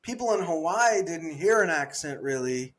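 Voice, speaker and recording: Animated Southern-accented man, podcast co-host. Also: a little high, normal speed. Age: adult. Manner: animated, laughs often, trails off searching for words. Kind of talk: casual chat.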